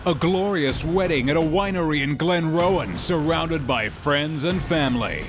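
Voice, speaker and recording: A man's voice: a little high, animated, moderate pace. An Australian man reading a scripted, tongue-in-cheek intro as a mock-dramatic narrator. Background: prominent music bed.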